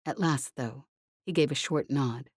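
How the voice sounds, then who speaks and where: A woman narrates a novel in a low-pitched, mellow voice. The sound is very dry and close, with the measured delivery of scripted audiobook reading. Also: husky, dry recording.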